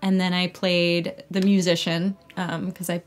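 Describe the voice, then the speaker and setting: A woman's voice: fairly low pitch, flat delivery, a little slow. A young American woman, an actor, chatting casually as an interview guest. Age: young adult. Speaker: actor and singer being interviewed.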